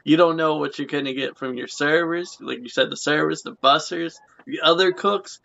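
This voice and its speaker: Gravelly-voiced young man hosting a chat. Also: on the high side, moderate pace, bright, forceful tone. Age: late 20s. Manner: rambling, repeats phrases. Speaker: podcast host. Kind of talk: casual interview chat. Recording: muffled lo-fi audio.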